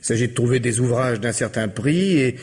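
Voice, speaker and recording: An actor playing an uneasy, weary, guarded publisher and husband in a French radio mystery drama. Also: male voice, mid-range voice, a little fast, darkish tone, husky, natural voice. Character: married male mail-order publisher, uneasy, weary, evasive.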